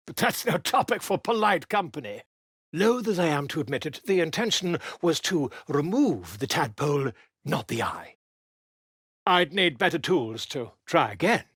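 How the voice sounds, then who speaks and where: Video game role: a fussy, pompous chronicler. Theatrical and wordy, with a husky voice and lively pitch. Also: male voice, no echo, studio quality, full character voice. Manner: theatrical, florid, wordy and wheedling. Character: pompous, flamboyant male author and chronicler, inquisitive and fussy.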